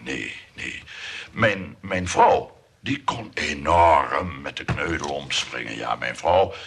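A man's voice: low voice, creaky, bright, forceful tone, heavily acted. An actor playing a fussy, flustered umbrella salesman in a comic radio play, stammering and repeating himself in overly polite patter. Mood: wistful. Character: fussy, flustered umbrella shop salesman, eager but clumsy. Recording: noisy old radio play recording.

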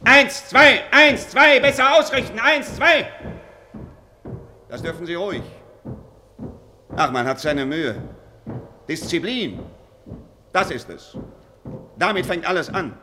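An actor in a German radio play as a dutiful, haunted drill officer, shifting between barked commands and brooding, confiding talk. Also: male voice, high voice, expressive, slow pace, long pauses, sharp tone, slight background noise, full character voice. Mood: barking, commanding. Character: barking drill officer, weary, haunted, dutiful, grieving father.

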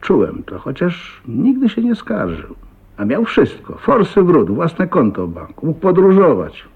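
An actor in a radio drama playing a talkative, blunt, jovial old self-made millionaire with a husky voice and a folksy manner. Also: male voice, a little high, huge pitch swings, a little slow, heavily acted. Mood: wistful, reminiscing. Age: older. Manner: garrulous, folksy, blunt, with chuckles and exclamations. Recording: muffled thin narrow-band radio recording.

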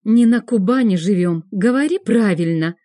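A woman voicing a chatty, bossy female best friend who talks in rapid, exclamatory, scolding bursts in an audiobook. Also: mid-range voice, animated, warm tone, dry recording, studio quality, natural voice. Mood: alarmed, scolding.

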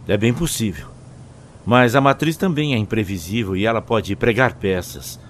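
A man playing a sober, cautious companion in a sci-fi audio drama, measured and explanatory. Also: fairly low pitch, slight background noise, close to an everyday voice. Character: sober, cautious male companion in a strange world.

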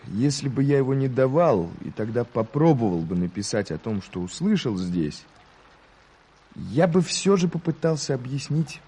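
Earnest polite reporter in radio play, husky dark voice, tentative curious questions. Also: male voice, medium pitch, sing-song, moderate pace. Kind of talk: Soviet radio play acting.

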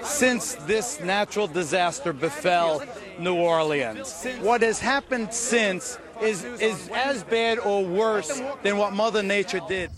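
A man's voice: high-pitched, slow. A man giving an emphatic news statement. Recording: outdoor archive clip, crowd noise behind.

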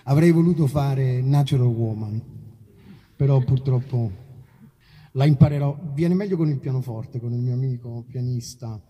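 An Italian man, a musician, chatting informally between songs in a halting, self-deprecating, rambling way. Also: mid-range voice, warm tone, slightly rough. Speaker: musician performing and introducing songs. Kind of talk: casual rambling stage talk between songs. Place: outdoor festival concert.

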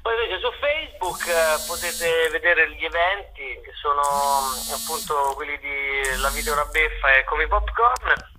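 A man's voice: a little high, moderate pace, noisy background. A man in a casual radio interview as a guest, playful and hesitant, circling back over his own phrases. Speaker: actor and playwright, interview guest.

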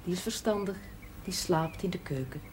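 A woman acting a bossy but caring member of the household in a television period drama, scolding in a brisk, motherly way. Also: fairly low pitch, darkish tone, a little hiss or hum, lightly acted.

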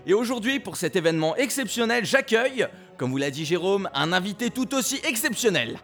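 High-voiced male radio host played by an actor, gushing, theatrical, swooping intonation, music underneath, audio drama. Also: very animated, brisk, a bit bright, heavily acted. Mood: excited, welcoming. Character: excitable male radio presenter of a video game show.

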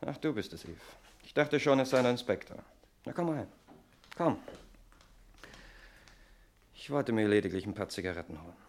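A man's voice: mid-range voice, expressive, normal speed, long pauses, slight background noise, lightly acted. A wry, teasing brother acted by a man in a vintage radio play. The intonation swings widely while the manner stays casual. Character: wry, relaxed brother sharing suspicions. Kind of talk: German 1960s radio play acting.

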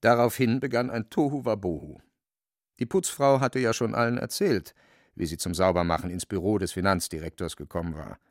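A man's voice: fairly low pitch, brisk, no echo, clean studio sound. A man narrating a literary radio drama in a wry, dramatic storytelling manner.

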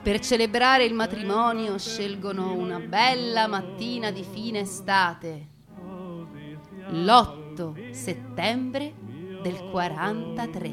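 A woman performing scripted theatrical storytelling, dramatic and deliberate with her pauses. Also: medium pitch, music in the background. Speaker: stage narrator and performer.

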